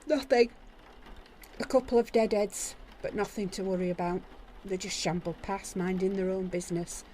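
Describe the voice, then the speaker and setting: A woman playing a dutiful female guard who reports in a crisp, formal way. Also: medium pitch, steady tone, moderate pace, dark tone, a little hiss or hum, lightly acted. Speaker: guard in audio drama. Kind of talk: audio drama acting.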